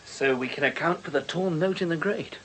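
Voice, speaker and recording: A man's voice: a little high, brisk, slight background noise, close to an everyday voice, low-quality recording. A man playing a sardonic junior police colleague in a radio drama, speaking in a dry, jesting manner. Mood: dry, amused.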